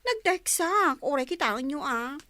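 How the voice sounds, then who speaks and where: High-pitched, bashful Ilocano daughter played by a woman. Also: lively intonation, a little slow, bright, forceful tone, no room sound, heavily acted. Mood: embarrassed. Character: bashful, worried young daughter of a poor family. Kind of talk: Ilocano radio comedy drama acting.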